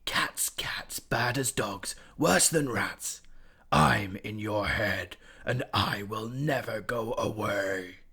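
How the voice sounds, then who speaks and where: A man voicing a sinister, slow, whispering rat creature that speaks inside a cat's head. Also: on the low side, sharp tone, full character voice. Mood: menacing. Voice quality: hoarse whisper. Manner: slow, menacing, distant.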